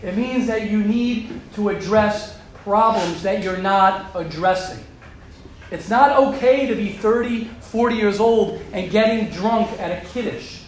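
A man teaching a group of young men, talking forcefully and repeating his points for emphasis. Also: sits high, unhurried. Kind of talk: emphatic religious talk to a group. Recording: very roomy echo with faint background hum.

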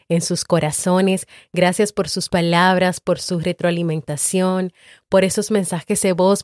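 A woman from the Republic, hosting a podcast and thanking her listeners in an unhurried, grateful way. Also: fairly low pitch, darkish tone, no echo, clean studio sound. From Dominican Republic. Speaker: podcast host. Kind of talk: heartfelt thank-you to listeners.

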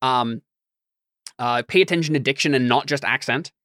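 A man's voice: mid-range voice, moderate pace, bright, forceful tone, no echo, studio quality. A man hosting a podcast in casual chat, informal and a little hesitant as he thinks aloud. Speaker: podcast host.

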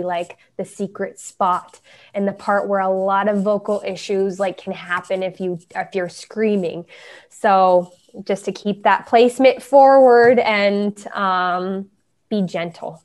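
A woman's voice: medium pitch, darkish tone. Chatty young woman with many fillers. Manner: chatty, frequent fillers and restarts. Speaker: guest, Broadway performer. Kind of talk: casual interview answers.

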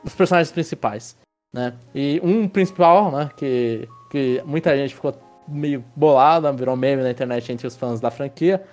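A man's voice: mid-range voice, normal speed, darkish tone, faint music behind. A man hosting a casual film review podcast, rambling with restarts and tag questions as he leads the talk. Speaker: podcast host.

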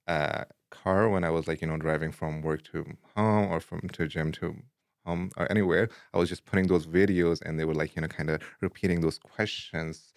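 A young man from Afghanistan, a guest in a casual interview, talking in a relaxed way with many "like, you know" fillers and easy laughs. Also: deep voice, moderate pace, no room sound, clean studio sound.

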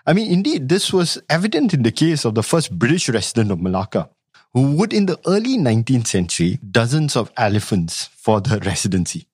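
A man's voice: mid-range voice, very animated, brisk, a little mellow, husky, no room sound, studio quality. A man from Singapore, an academic researcher answering interview questions thoughtfully, with frequent restarts. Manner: thoughtful, many restarts and hedging fillers.

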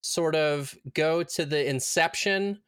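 A woman speaking at an unhurried pace in a very dry recording with no room sound. She banters casually with friends, wry and happy to swear, stretching out her phrases. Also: low voice, clear, resonant tone, no room sound.

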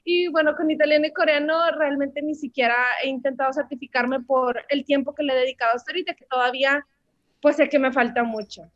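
High-voiced young Mexican woman. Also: steady tone, fast talker, no echo, lo-fi sound. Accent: Mexican Spanish. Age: 20s. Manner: chatty, storytelling, many fillers. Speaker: language teacher, guest. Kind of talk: casual interview answers.

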